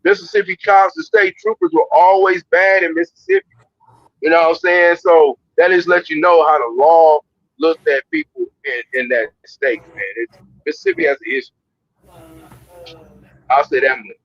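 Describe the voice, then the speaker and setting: Dull-sounding, fairly high-voiced American man. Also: sing-song, moderate pace, a bit bright, no room sound. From United States. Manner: informal, slangy, opinionated. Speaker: livestream co-host. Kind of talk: casual conversational commentary.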